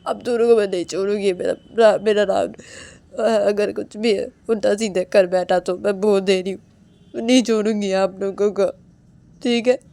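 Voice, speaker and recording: A woman voicing a fierce young wife who loudly and insistently repeats her threats to protect her husband. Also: lowish voice, level tone, quick pace, slightly rough. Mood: furious.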